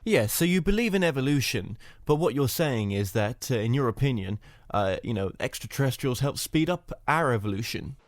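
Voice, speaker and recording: Male host, lively up-and-down voice, rambling questions, dry sound. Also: mid-range voice, moderate pace, no echo. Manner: rambling, full of "you know" fillers. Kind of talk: casual interview questions.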